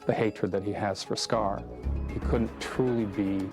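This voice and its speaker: A man's voice: on the low side, steady tone, unhurried. A man speaking as a film director in an interview, explaining thoughtfully and stressing points by repeating words. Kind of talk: documentary interview, reflective explanation. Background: music.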